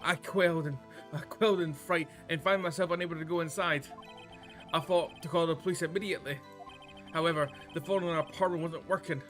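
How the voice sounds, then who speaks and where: Man doing a shifty, bright-voiced male witness. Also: on the high side, fairly even intonation, fairly quick, soft background music, lightly acted. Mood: recounting. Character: shifty male newspaper seller testifying as witness.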